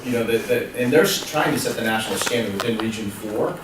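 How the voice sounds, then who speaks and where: A man's voice: mid-range voice, noisy background. A man explaining emergency services rules at a public meeting. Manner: explanatory, rapid. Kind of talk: meeting debate. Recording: echoey room from far off.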